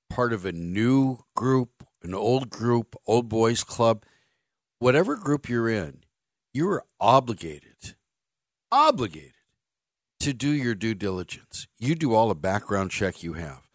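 A man's voice: medium pitch, sing-song, slow pace, long pauses, no room sound. A middle-aged man hosting sports talk radio from a studio mic, blunt and sarcastic as he reads listener texts and gives his opinions. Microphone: studio microphone.

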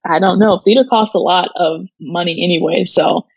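A woman's voice: on the low side, fairly even intonation, normal speed, gravelly, breathy edge, no echo, lo-fi sound. An American woman co-hosting an entertainment show in casual, chatty talk sprinkled with "like". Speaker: co-host of an entertainment news show. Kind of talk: casual chat.

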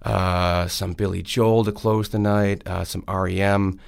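A man's voice: low-pitched, flat delivery, unhurried, creaky, clean tone. A man in his thirties from Wisconsin, answering interview questions in a friendly, rambling way with many fillers. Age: 30s. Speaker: state senator.

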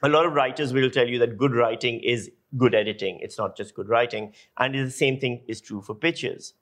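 A man's voice: medium pitch, fairly even intonation, normal speed, fairly bright tone. Emphatic man explaining from experience. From Pakistan. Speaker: guest lecturer and audio journalist. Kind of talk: explanatory interview talk with anecdotes.

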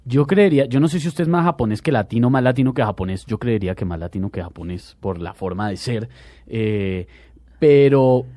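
Mellow-voiced male talk show host. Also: mid-range voice, dry recording, studio quality. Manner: asks questions, laughs along. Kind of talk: casual interview.

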